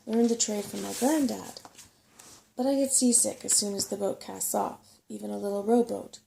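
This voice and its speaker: A woman's voice: mid-range voice, steady tone, moderate pace, gravelly, dark tone, a little hiss or hum. A woman voicing a self-satisfied old male rat, a chatty and cautious know-it-all, in a bedtime story. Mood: squeamish, embarrassed.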